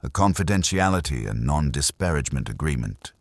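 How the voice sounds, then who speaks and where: A man voicing a formal, guilt-burdened deep-voiced doctor. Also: expressive, a little mellow, no echo, exaggerated voice. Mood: reluctant confession. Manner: formal, measured, resigned. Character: guilt-burdened, careful male doctor confessing.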